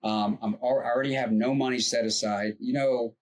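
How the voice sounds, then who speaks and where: A man's voice: mid-range voice, steady tone, a little fast. A man co-hosting a podcast, talking earnestly and at length about money, with restarts and fillers. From United States. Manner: rambling, earnest, explains at length. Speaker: co-host, financial advisor. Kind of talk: casual podcast chat.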